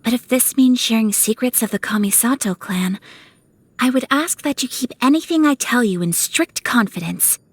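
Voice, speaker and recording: A young woman playing a refined, dutiful, gentle noblewoman in a video game, speaking with formal, courteous, measured phrasing. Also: fairly high pitch, animated, breathy edge, dry recording. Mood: gentle, composed. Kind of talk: scripted video game character dialogue. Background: music.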